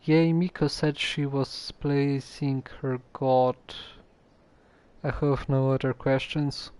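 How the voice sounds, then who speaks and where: Casual streamer, sex ambiguous: slow delivery, dark soft tone, low-key reactions to a game. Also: steady tone, clean tone, no echo. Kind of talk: casual live stream commentary.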